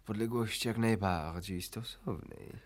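An actor playing a foppish, flirtatious count with pompous, florid, mannered courtesy in a theatrical radio play. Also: male voice, on the low side, moderate pace, lightly acted. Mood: suave, flirtatious. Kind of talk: theatrical radio drama acting.

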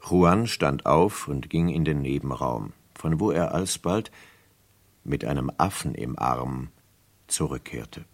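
Very deep, slow male narrator. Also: animated. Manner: measured, suspenseful storytelling. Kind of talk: scripted radio drama narration.

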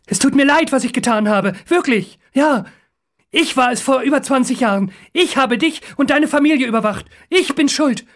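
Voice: mid-range voice, normal speed, natural voice. A narrator voicing a terrified captive man in an audiobook, begging urgently and crying for help. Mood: pleading.

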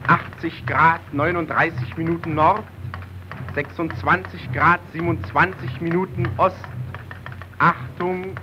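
Icebreaker radioman male actor: deliberate, formal announcements, slow delivery, noisy vintage radio drama. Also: a little high, fairly even intonation, lightly acted. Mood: urgent, formal. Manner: measured, announcing. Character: formal ship's radio operator sending coordinates. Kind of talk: German radio play acting. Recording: muffled, noisy old radio recording.

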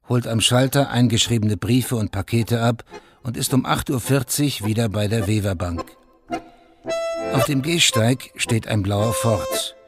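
A man with a slightly low voice narrates a radio drama from a script. He is sober and precise, and music plays clearly behind him.

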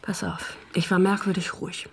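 An actress playing an excitable esoteric woman convinced of her past life, chatty and self-assured, in a naturalistic radio drama. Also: on the low side, moderate pace, breathy edge, in a whisper. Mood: enthralled.

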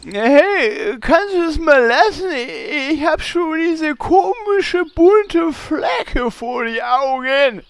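Comic radio-play sidekick: naive, whiny, dim, very slow delivery in a high, bouncy voice. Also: female voice, expressive, slight background noise, heavily acted. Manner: whiny, hesitant, simple-minded. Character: childish, dim, naive sidekick Horst, easily confused. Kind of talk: parody audio drama acting.

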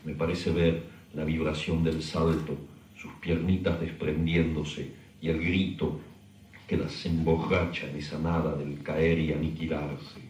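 A man's voice: rumbling low, flat delivery, measured pace, a little mellow, reverberant, recorded from a distance, slight background noise. A man giving a literary recitation.

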